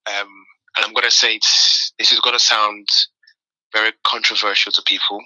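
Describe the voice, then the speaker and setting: Bright-voiced man telling his story. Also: fairly low pitch, normal speed, dry recording, poor audio. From Nigeria. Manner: animated storyteller, repeats words while thinking. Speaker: guest. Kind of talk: conversational interview.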